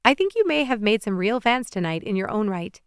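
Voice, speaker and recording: A woman's voice: mid-range voice, sing-song, a little fast, clear, resonant tone, dry recording, studio quality. A woman voicing a sincere, encouraging young female friend in an audiobook.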